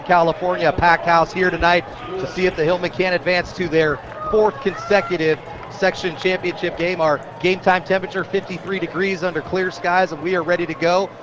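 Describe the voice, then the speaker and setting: A man's voice: high-pitched, little pitch movement, a little fast, highs cut off. An American man doing live play-by-play radio commentary of a football game from a stadium booth. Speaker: play-by-play announcer. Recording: stadium crowd noise behind voice. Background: crowd at an outdoor stadium.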